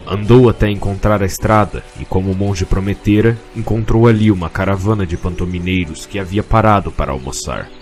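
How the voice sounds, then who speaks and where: Low-voiced Brazilian male storyteller. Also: darkish tone. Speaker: narrator. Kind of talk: scripted fantasy story narration.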